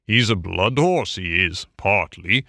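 A vain, boastful toad of a storybook, lower and slower than the narrator, pompous and wheedling, with a gravelly grain, as a man reading aloud puts him on. The sound is close and dry. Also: lively intonation, sharp tone, no room sound, exaggerated voice.

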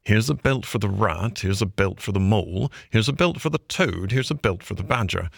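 A man's voice: lowish voice, lively intonation, normal speed, gravelly, breathy edge, no echo, clean studio sound, full character voice. A man voicing an anxious, busy male water rat muttering to himself as he counts out gear. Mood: fussy.

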